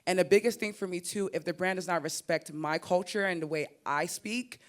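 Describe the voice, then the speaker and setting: A woman's voice: fairly low pitch, brisk. A young woman with a Nigerian-American accent, talking animatedly as a creator on a summit panel stage. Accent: Nigerian mixed with American. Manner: animated and expressive, laughs and repeats words. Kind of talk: conference panel talk.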